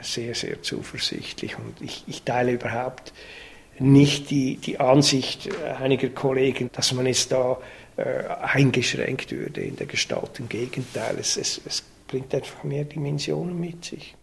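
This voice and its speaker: A man, an architect, giving a halting radio interview statement with repeated words and fillers. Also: mid-range voice, animated, gravelly, a little hiss or hum. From Switzerland.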